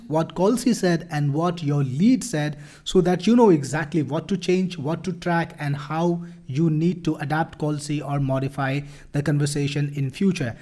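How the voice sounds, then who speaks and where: A man presenting a promotional software walkthrough in an explanatory, salesy manner. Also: a little high. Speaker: product demonstrator.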